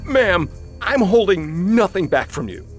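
A man's voice: high-pitched, big pitch swings, unhurried, soft background music, full character voice. A man playing a hapless, nervous young prisoner in a comedic audio drama, rambling and apologetic. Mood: nervous, pleading.

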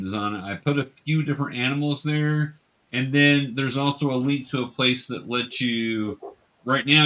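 A man with a bright, forward voice works as game master for a tabletop role-playing game. He narrates what happens to the players and patiently explains how the rules work. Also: mid-range voice.

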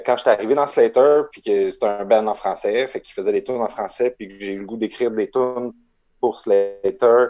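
A man's voice: medium pitch, darkish tone, highs cut off. A man with a Québécois accent, a musician guest reflecting earnestly in a casual interview.

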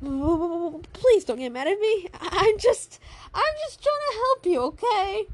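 A woman's voice: on the high side, completely flat delivery, a little slow, a little mellow, smooth, lightly acted. A woman voicing a touchy, bashful, easily upset girl in a story, pleading and complaining. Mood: flustered, pleading.

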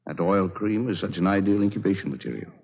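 An actor playing a calm, authoritative medical officer with a measured, reassuring manner in a 1940s radio drama. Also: male voice, low-pitched, vocal fry, exaggerated voice. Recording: dull old radio broadcast.

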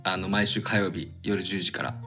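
A man's voice: sits low, little pitch movement, moderate pace, sharp tone, faint music behind. A man co-hosting a casual podcast, playful and mock-formal. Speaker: podcast co-host.

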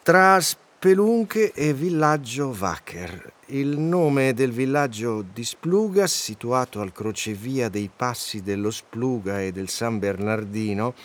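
A man's voice: medium pitch, lively intonation, takes it slowly, hardly any pauses. A man acting in a radio drama as an eager, pedantic guide, didactic and fussy, declaiming what he reads. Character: eager, bookish male guide, pedantic and easily flustered. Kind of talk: radio drama dialogue with read-aloud guide text.

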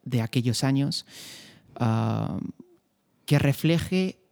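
A man from hosts a relaxed podcast interview. His voice is gravelly and dark. He asks his questions in an easygoing, unhurried way. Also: mid-range voice. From Spain. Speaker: podcast host. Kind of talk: casual interview chat.

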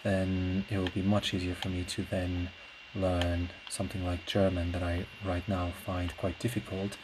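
A man whose native language is Portuguese, speaking in a casual solo podcast ramble, hesitant and reflective as he searches for words. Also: low voice. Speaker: podcast host; classical singer. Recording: clear steady background noise.

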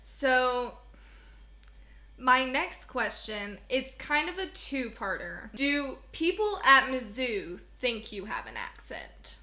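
A woman's voice: high-pitched, sing-song, slow pace, bright, forceful tone. A young woman from Southwest Missouri co-hosting a podcast, chatting in a rambling way and laughing often. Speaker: podcast co-host. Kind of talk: casual podcast chat.

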